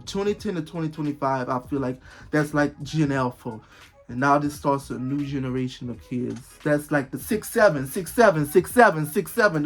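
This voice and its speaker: A twenty-year-old man vlogging in casual talk, rambling and repeating himself, with bursts of disbelief. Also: on the high side. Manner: rambling, repetitive, exclaiming in disbelief.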